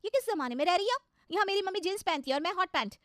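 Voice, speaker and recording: A woman's voice: extremely high pitch, animated, racing, dry recording, studio quality, pitched above the performer's own voice. A woman voicing a snobbish modern mother of the bride in a cartoon story, haughty and mocking, mixing in English. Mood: indignant.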